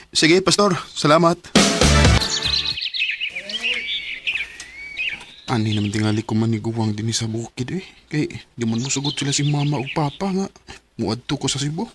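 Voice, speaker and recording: An actor playing a dutiful young farm son in a Bisaya radio drama, earnest and deferential, with a Cebuano Filipino accent. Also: male voice, medium pitch, very noisy, lightly acted. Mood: wistful. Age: young adult. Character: dutiful young son from a poor farming family.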